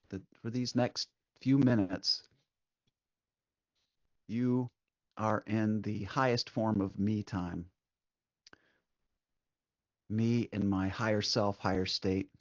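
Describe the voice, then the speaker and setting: A man leading a guided meditation as the guide, speaking gently and soothingly, unhurried, with long pauses between short instructions. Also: on the low side, no echo, lo-fi sound.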